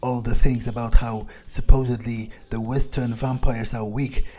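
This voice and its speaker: A man's voice: medium pitch, level tone, moderate pace, mellow. A man doing in-character game playthrough commentary, thinking aloud hesitantly and swearing bluntly. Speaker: gaming video creator.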